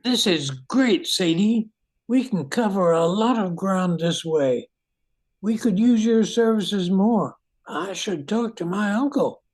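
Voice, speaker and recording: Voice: mid-range voice, expressive, takes it slowly, a little mellow, rough recording. A performer playing an earnest, kindly park ranger in an amateur radio drama. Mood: friendly.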